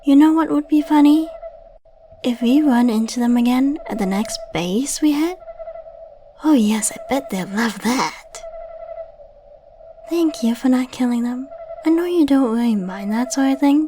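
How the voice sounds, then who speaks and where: A playful female secret agent, acted by a woman in an audio roleplay, her voice on the high side. She is coy and affectionate, teasing the listener with chatty little questions. Clear background noise of effects sits behind her. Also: animated, normal speed, close to an everyday voice. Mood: fond, amused. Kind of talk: scripted ASMR roleplay, addressing the listener. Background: ambient sound effects.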